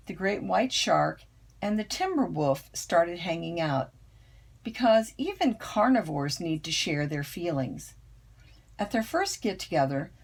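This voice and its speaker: An older woman, a grandmother, reading a children's picture book aloud with expressive, storytelling emphasis. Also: lowish voice, gravelly, husky. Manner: unhurried, dramatic storytelling emphasis.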